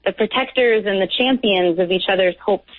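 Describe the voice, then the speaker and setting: A woman's voice: mid-range voice, moderate pace, no echo. A woman speaking as a guest on a radio show, earnest and heartfelt, with small hesitations. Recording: tinny phone line.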